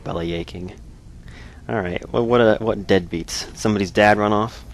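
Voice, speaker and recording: A man's voice: lowish voice, steady tone, brisk. A man hosting a let's play, giving casual, wry, rambling commentary. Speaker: gaming video host.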